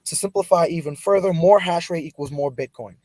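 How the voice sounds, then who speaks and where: Energetic male stream host. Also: fairly high pitch, fairly quick, no room sound. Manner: energetic, emphatic, swings between loud and soft. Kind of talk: livestream podcast hosting.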